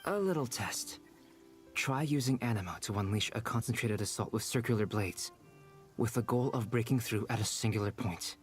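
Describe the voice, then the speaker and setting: An actor playing a calm, analytical young male scholar in a video game, precise and composed as if lecturing. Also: lowish voice, moderate pace, lightly acted. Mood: composed, instructive. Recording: game music behind.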